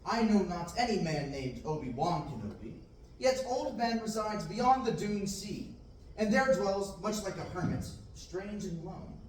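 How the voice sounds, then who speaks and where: Eager young farm boy read by a young man, earnest and exclamatory, high-ish voice recorded from across an echoey classroom. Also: lively intonation, measured pace. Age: young adult. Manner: earnest reading with bursts of theatrical exclamation. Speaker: table-read actor. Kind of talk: amateur Shakespearean-verse table reading. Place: large classroom indoors. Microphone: far camera. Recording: very echoey room, far-off mic, faint noise.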